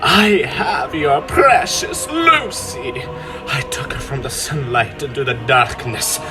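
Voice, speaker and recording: Radio drama vampire count, male: grandiose, vengeful, wildly varied pitch, drawn-out delivery. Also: wildly swooping pitch, over music. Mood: gloating, vengeful. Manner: theatrical, grandiose, drawn-out menace. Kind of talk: stage-style radio drama acting.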